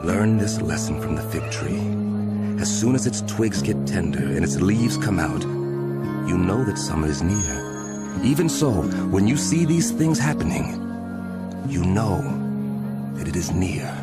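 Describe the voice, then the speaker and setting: A grave scriptural teacher, acted by a man, delivers solemn warnings in a low voice with dramatic swells and falls. The phrasing is deliberate and emphatic, and prominent music runs underneath. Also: expressive. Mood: grave, prophetic.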